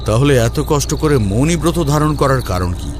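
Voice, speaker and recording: An actor playing a male storyteller who recalls his bold youth in conversational, expressive first-person narration. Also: mid-range voice, moderate pace, lightly acted. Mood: questioning. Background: music.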